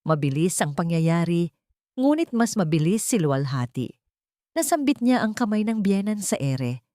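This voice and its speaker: A woman's voice: lowish voice, sing-song, normal speed, warm tone, dry recording, studio quality. A woman reading scripted dramatic narration as a storyteller.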